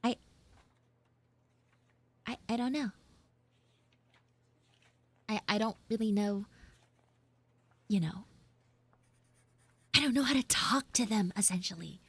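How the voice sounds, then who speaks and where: A woman's voice: mid-range voice, takes it slowly, long pauses, a bit bright, slightly rough, no room sound. A woman chatting in character on a livestream as a flustered tomboy tsundere schoolgirl, with dramatic, whiny exasperation. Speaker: VTuber livestreamer doing audio roleplay. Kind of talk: improvised in-character livestream chat.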